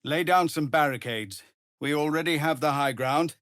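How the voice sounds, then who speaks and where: A male actor voicing a weary, earnest refugee leader and fallen warrior in a fantasy video game, with a gravelly, husky voice and a measured, formal, grave delivery. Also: a little high, a bit bright, dry recording, clean studio sound, lightly acted. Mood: calm, grateful. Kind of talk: dramatic fantasy game dialogue.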